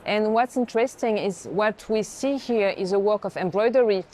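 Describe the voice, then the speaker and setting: A woman's voice: mid-range voice, normal speed, darkish tone. A woman speaking as a senior curator in reflective, conversational commentary on art. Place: indoor art fair. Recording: faint fair background noise.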